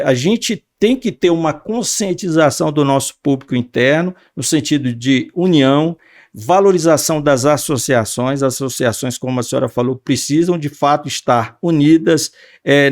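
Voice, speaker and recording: A man's voice: mid-range voice, dry recording, clean studio sound. A man hosting an interview podcast, earnest and formal, with many fillers. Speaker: podcast host.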